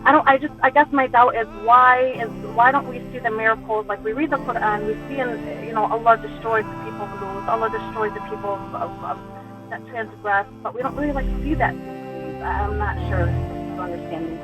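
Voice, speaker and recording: A woman's voice: fairly high pitch, over music, rough recording. Hesitant woman asking a question. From United States. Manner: halting and searching, with many restarts and "like". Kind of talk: personal question in a call-in discussion.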